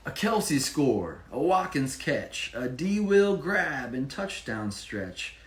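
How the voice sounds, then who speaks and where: A man reads a rhyming picture book aloud, his pitch moving in lively swings. He is emphatic and breaks off to explain things casually to a child. The room is echoey, with faint noise behind him. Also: takes it slowly. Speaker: children's author reading own book.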